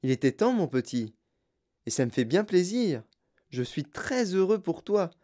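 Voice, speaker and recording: A storyteller voicing a sprightly, mischievous old chocolatier with a clear, fluty voice, excitable and effusively polite, in an audiobook. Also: medium pitch, a little slow, voice pushed up. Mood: delighted. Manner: excitable, repeats himself in courteous bursts.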